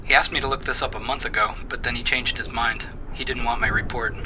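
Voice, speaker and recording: An actor playing a hesitant young software technician who talks softly and stammers. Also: male voice, medium pitch, fairly even intonation, fast, slight background noise, rough recording. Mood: shy. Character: shy young male software tech.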